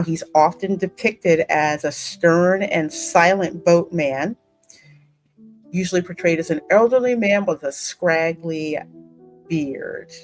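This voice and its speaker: A woman co-hosting a gaming chat, explaining things in a measured way, as if sharing research. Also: deep voice, rough recording. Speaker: gaming channel co-host. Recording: music playing behind the voice.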